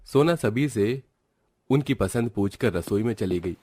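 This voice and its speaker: A man's voice: fairly low pitch, animated, a little slow, darkish tone, slightly rough, no echo, studio quality. A man narrating a scripted family story.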